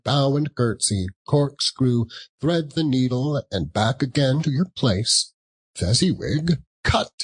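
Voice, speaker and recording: A man narrating a classic story as an audiobook, in an expressive, deliberate and theatrical style. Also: medium pitch.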